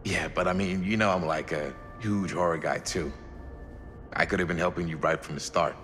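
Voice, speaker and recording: Low-voiced, touchy young American guy. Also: fairly even intonation, moderate pace, a bit bright, slight background noise. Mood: hopeful, probing. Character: touchy young horror fan who feels left out. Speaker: video game character. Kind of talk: naturalistic game cutscene acting.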